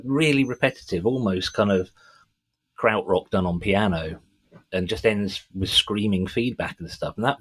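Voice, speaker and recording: A man's voice: fairly low pitch, breathy edge. A middle-aged man co-hosting a music podcast, chatting casually with an enthusiastic, sweary fan's manner. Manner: enthusiastic, sweary fan talk.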